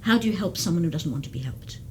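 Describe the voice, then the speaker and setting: A woman's voice: on the low side, expressive, fast, a little hiss or hum. A woman who works as a geriatric case manager, explaining at length in an interview with frequent restarts. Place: community TV studio.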